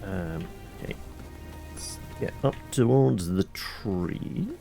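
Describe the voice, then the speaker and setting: A man with a low, gravelly voice hosts a casual game stream. He rambles and thinks aloud, chatting as he plays, and his pitch moves around freely. Music plays softly behind him. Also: expressive, slow. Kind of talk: casual let's play commentary.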